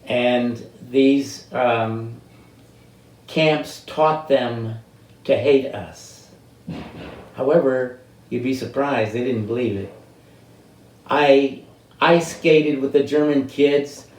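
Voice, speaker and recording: Old guy in his 80s telling life stories, really slow and halting, echoey room, bit far from the mic. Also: mid-range voice, darkish tone. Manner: halting, deliberate, with long pauses and drawn-out words. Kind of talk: unscripted personal storytelling. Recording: roomy, distant microphone.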